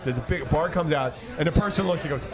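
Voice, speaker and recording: A man casually telling rambling, profane stories as a podcast host and bartender, acting out conversations in an animated way. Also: fairly high pitch, fast talker, music in the background. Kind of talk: casual bar banter and storytelling. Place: in a busy bar. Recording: bar chatter in the background.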